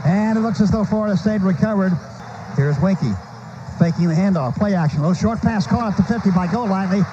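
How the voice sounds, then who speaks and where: High-pitched American man calling football on radio. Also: fairly quick. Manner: clipped running phrases that swell on big plays. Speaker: play-by-play announcer. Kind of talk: live radio football commentary. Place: stadium broadcast booth. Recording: muffled noisy old radio broadcast.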